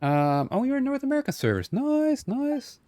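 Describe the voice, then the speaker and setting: A man's voice: extremely high pitch, big pitch swings, normal speed, warm tone. A man chatting casually as a game streamer, playful, often repeating phrases as he thinks aloud. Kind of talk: casual live gameplay chat.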